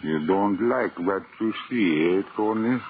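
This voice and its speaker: A man's voice: low-pitched, unhurried. An actor playing a vengeful drowned man, taunting and mock-friendly in a 1940s radio horror play. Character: vengeful drowned man haunting his killer, slow and menacing. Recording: muffled, noisy old radio recording.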